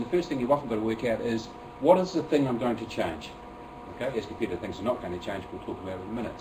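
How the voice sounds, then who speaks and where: A man with a New Zealand accent talks teachers through a classroom method, chatty and self-deprecating. He speaks quickly and is picked up from a distance. Steady room noise runs under his voice. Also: medium pitch. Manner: chatty and self-deprecating, many fillers and restarts. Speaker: teacher presenting to fellow teachers. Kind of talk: workshop presentation. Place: room with an audience.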